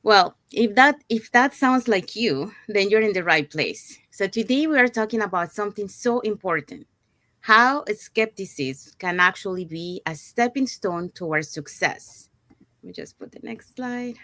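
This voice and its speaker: A woman's voice: medium pitch, lively intonation, measured pace, a bit bright, no room sound. Upbeat woman hosting a dry-sounding livestream. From Panama. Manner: upbeat, chatty with fillers and restarts. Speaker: host of an online business livestream. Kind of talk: presenting a live webinar promo.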